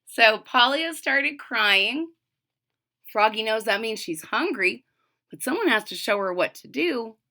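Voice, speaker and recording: Female storybook reader: slow, highly animated pitch, expressive narration for young children. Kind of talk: children's read-aloud narration with explanations.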